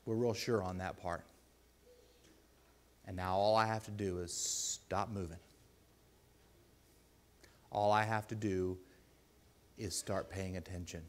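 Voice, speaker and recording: A man with a deep voice preaching a sermon in a church, earnest and pleading, with loud emphatic bursts. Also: steady tone, slow, long pauses. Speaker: lay preacher.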